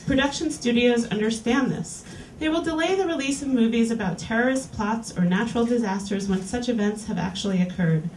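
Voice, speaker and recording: A woman's voice: fairly high pitch, darkish tone, slight background noise. A woman hosting a reading series, reading an erotica piece aloud to a live audience in a small lounge. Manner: clear, deliberate reading-aloud delivery. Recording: roomy, distant live capture.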